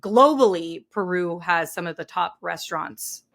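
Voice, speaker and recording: A woman's voice: fairly low pitch, animated, a little slow, vocal fry, fairly bright tone. A woman hosting a podcast, interviewing a guest with effusive, gushing praise. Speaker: podcast host.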